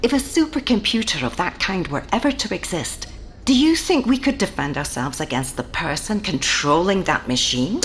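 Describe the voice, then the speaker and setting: A thoughtful, grave woman for an audio drama. Her voice is lowish and expressive in pitch, with measured phrasing. Ambient noise lies behind her. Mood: grave, speculative. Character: thoughtful woman speculating gravely about technology.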